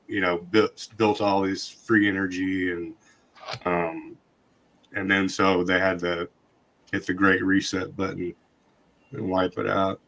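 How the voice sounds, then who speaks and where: Man, very low voice, monotone, hoarse, scratchy, loose casual podcast chat. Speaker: podcast host.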